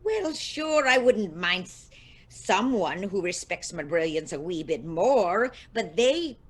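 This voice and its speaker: A woman's voice: fairly low pitch, very animated, slow pace, husky, heavily acted. A woman playing a vain, touchy older music legend, haughty and theatrical, in a comedic audio drama.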